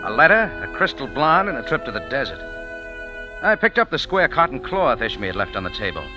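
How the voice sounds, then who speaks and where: A man's voice: medium pitch, big pitch swings, brisk, lightly acted. An actor playing a wry, tough American cafe owner and sleuth, telling his story in punchy hardboiled radio-drama style. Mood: wry, watchful. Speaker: lead character and narrator. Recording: old radio recording with background music.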